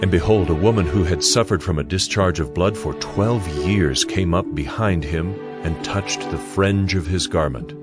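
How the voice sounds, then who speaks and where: A man's voice: sits low, moderate pace, few pauses. A man narrating a dramatized audio Bible in a solemn storytelling manner. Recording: music behind the voice. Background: background music.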